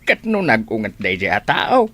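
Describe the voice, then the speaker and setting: An actor as a gossipy older uncle from a radio drama, with a husky voice and an Ilocano accent. He is plain-spoken and working-class, and his pitch moves about freely as he talks. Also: wildly swooping pitch, moderate pace, sharp tone, heavily acted. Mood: gossipy, insistent.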